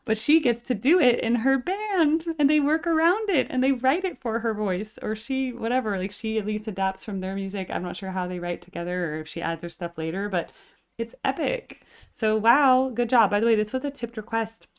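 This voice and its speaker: A woman's voice: fairly high pitch, normal speed, clean tone. A woman in her forties with a full, operatically trained voice, chatting casually as a reaction channel host and filling her talk with "like". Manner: chatty, constant filler "like".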